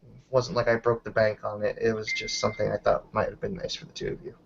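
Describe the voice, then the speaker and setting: A man's voice: fairly low pitch, level tone, quick pace, gravelly, slight background noise, pitched below the performer's own voice. A man voicing a polite, considerate woman who explains things apologetically in a tabletop roleplaying game. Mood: apologetic. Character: polite, considerate woman hosting two newlyweds. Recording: dull muffled lo-fi audio.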